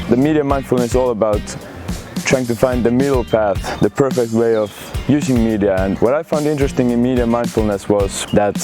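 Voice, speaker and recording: A young man from Finland giving a testimonial as an exchange student. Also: medium pitch. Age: young adult. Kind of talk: interview testimonial. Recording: music playing behind the voice. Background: music.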